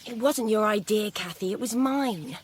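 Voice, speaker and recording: A woman acting in a British radio drama as a stubborn young friend, with a husky voice. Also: medium pitch, moderate pace, slight background noise, lightly acted. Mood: resolute.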